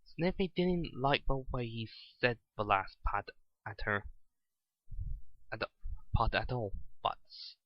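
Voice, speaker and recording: A man's voice: mid-range voice, animated, slow pace, long pauses. A man narrating a story as an amateur reader, often stumbling and repeating his phrases. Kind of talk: dramatic reading of fan fiction. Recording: echoey, slightly muffled home recording.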